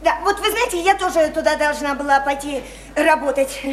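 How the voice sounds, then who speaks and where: A woman's voice: high voice, brisk, close to an everyday voice. An actress in a radio drama playing a naive, sickly, chatty small-town woman who rambles on in simple, insistent folksy speech. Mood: chatty, artless. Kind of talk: stage-style radio drama acting. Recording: roomy echo, faint noise.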